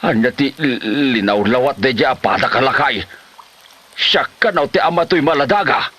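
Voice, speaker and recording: A man's voice: medium pitch, moderate pace, sharp tone, breathy edge. A man with a Filipino Ilocano accent playing an exasperated older father who scolds his lazy son in a comedy drama. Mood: exasperated scolding.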